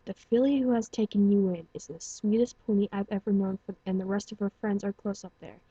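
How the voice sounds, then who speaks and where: A woman's voice: medium pitch, steady tone, warm tone, husky, no echo, highs cut off, close to an everyday voice. A woman doing a stern older stallion doctor. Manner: measured, cool and stern. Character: older male pony doctor, taciturn and protective.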